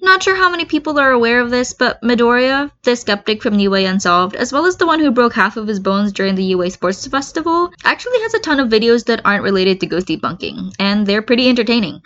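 A woman's voice: fairly high pitch, animated, fast talker, hardly any pauses, a bit bright. Woman reading a story, slightly muffled. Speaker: fan fiction reader. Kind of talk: expressive amateur story narration.

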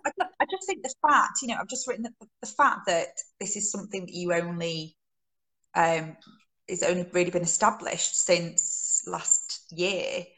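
Female interview host, lower voice, encouraging. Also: normal speed, a bit bright, low-quality recording. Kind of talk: live interview.

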